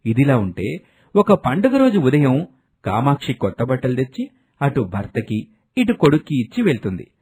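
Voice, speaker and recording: A man's voice: mid-range voice, huge pitch swings, moderate pace, a little mellow, husky. A man narrating a scripted family story as a storyteller.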